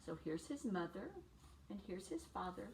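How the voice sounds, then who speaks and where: A woman in her sixties reading a picture book aloud to young children, phrasing things simply and deliberately and putting questions to her listeners. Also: lowish voice, animated. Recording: distant mic with faint background noise.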